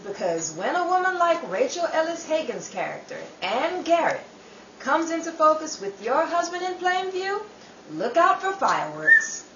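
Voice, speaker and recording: High, lively woman as a playful radio hostess. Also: reverberant, recorded from a distance, muffled recording, background noise. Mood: playful, teasing. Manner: playful, dramatic pauses. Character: chatty, playful female radio show host. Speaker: host. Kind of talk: 1930s radio show introduction, theatrical.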